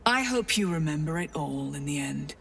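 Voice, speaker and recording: A cold cult enforcer in a fantasy game. She is scornful and deliberate, with a deep voice heard a little distant over faint noise. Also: animated, gravelly, fairly bright tone, full character voice. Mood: contemptuous. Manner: haughty, menacing, deliberate. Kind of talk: video game voice acting.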